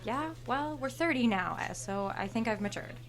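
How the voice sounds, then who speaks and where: An actress playing a sardonic, self-assured store cashier of thirty in a horror audio drama. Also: female voice, a little high, expressive, moderate pace. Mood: defiant. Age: 30s. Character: brazen, entitled thirty-year-old store cashier, sly confidante.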